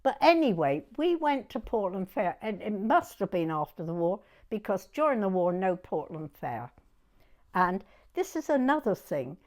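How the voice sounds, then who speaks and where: Elderly southern English woman, very lively intonation. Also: medium pitch, moderate pace. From southern England. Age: 90s. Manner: chatty, animated, repeats herself. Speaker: interviewee recalling her youth. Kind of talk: oral history reminiscence.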